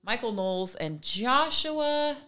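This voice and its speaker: A woman co-hosting a podcast, retelling stories casually and in an animated way, slipping into quotes as she goes. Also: a little high, slow. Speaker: podcast co-host. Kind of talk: casual conversational storytelling.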